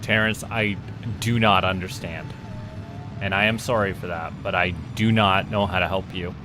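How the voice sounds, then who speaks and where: A Canadian man with a fairly low voice hosts a gaming livestream. He gives casual commentary to his chat as he plays, with music clearly running behind him. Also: fairly even intonation, moderate pace. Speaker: livestream host playing a space simulation game.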